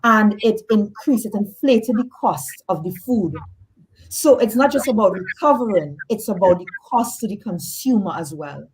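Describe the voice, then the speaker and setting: A woman's voice: medium pitch, animated, moderate pace, low-quality recording. A woman with a Trinidadian accent, a guest on a radio talk show, explaining research earnestly with some restarts. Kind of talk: radio interview.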